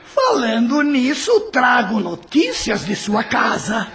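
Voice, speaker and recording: Casting: mocking Brazilian demon gang master, very high-pitched, gravelly fry, drawn-out taunts, audio drama over music. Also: male voice, wildly swooping pitch, fairly bright tone, heavily acted. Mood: gloating, taunting. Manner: sneering, drawn-out taunts, imperious. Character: sinister mocking male evil spirit, bullying gang master. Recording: music behind the voice.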